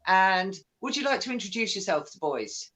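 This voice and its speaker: A woman hosting a casual live-stream interview, bubbly and quick to laugh. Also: mid-range voice, big pitch swings, fairly bright tone. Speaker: host.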